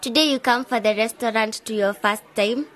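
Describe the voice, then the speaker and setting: An actress playing a meek, apologetic waitress with halting English in a radio drama. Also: female voice, fairly high pitch, fairly bright tone, natural voice.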